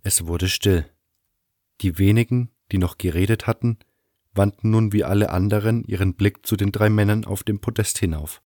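A man narrating a fan radio play as a dramatic scripted story, with measured, deliberate phrasing and pauses. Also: fairly low pitch, warm tone, no echo, studio quality. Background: music.

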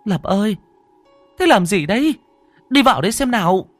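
A man voicing a frantic, bossy old grandmother, shrill and scolding. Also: big pitch swings, fast talker, a bit bright, breathy edge, no room sound, soft background music.

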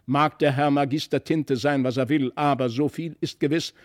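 A man voicing a stern, middle-aged noble father in a storybook reading, measured and scolding. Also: fairly high pitch, steady tone, close to an everyday voice. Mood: angry. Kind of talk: audiobook character voice.

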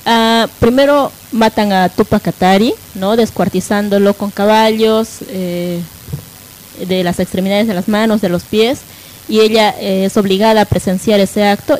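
A woman presenting on a live radio talk show, halting and often adding tag questions to seek agreement. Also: mid-range voice. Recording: noisy live radio with steady hiss.

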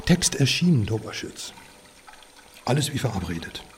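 A man's voice: medium pitch, sing-song, normal speed, slight background noise, natural voice. An actor playing a breezy, self-satisfied man in a radio drama, talking in casual, clipped phrases. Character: breezy, self-satisfied male confidant leaving on holiday. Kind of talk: naturalistic radio drama dialogue.

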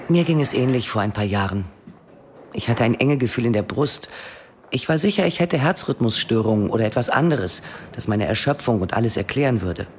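A man plays a calm and candid doctor in a radio play. The voice is mid-pitched and reassuring, over faint background ambience. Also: fairly quick, a little hiss or hum, close to an everyday voice. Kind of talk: radio drama dialogue.

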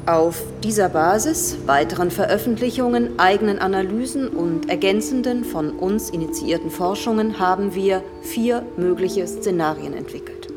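A woman playing a composed professor who gives a formal, measured, didactic briefing in a radio drama. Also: mid-range voice, music in the background, natural voice. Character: composed female professor briefing government ministers.

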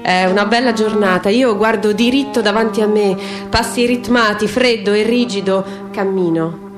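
A woman performs a literary text as radio theatre. Her delivery is measured and declamatory, with dramatic pauses, at a medium pitch. Instrumental music plays clearly behind her. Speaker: stage reader and performer. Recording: music under the voice.

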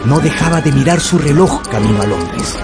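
A man's voice: a little high, expressive, normal speed. A man narrating a radio drama in a theatrical, scripted storytelling style. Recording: music under the voice.

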